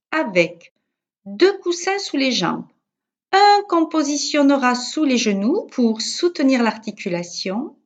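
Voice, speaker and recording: A woman yoga teacher guiding a relaxation session in a calm, measured, soothing manner with pauses. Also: high-pitched, muffled recording. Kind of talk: guided yoga nidra relaxation instruction.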